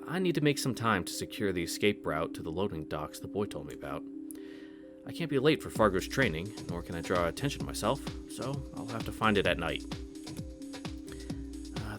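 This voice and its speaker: Deep-voiced man reading a game aloud. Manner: reads narration aloud, jokes and swears. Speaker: streamer reading a visual novel. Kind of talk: casual read-through. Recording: voice over game music.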